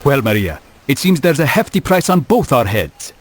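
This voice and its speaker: An actor playing a calm, resolute medieval assassin in a video game, speaking in a measured, terse and dry way. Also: male voice, mid-range voice, husky. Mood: dry, composed. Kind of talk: scripted video game voice acting.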